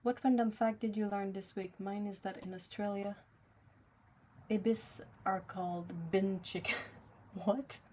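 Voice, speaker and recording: A woman's voice: medium pitch, steady tone, a little slow, darkish tone, slight background noise. A young woman with a French Canadian accent, a native French speaker, chatting casually with viewers on a livestream while she paints, reading the chat aloud and laughing often.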